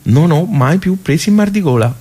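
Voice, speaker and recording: An actor playing a nostalgic, grumbling old social-club regular in a radio soliloquy, with a Tuscan accent and a colloquial, halting, rambling delivery full of rhetorical asides. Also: male voice, on the high side, animated, warm tone, breathy edge. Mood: animated grumbling. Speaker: stage actor. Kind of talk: theatrical radio soliloquy, conversational.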